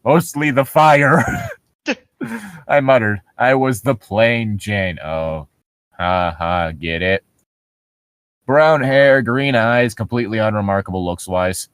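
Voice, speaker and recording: Man, very animated pitch, sarcastic and profane, giggly, mocking story reader, dry close sound. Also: slow, clear, resonant tone, no echo. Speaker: co-reader riffing on a horror story. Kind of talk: mocking dramatic reading with ad-lib commentary.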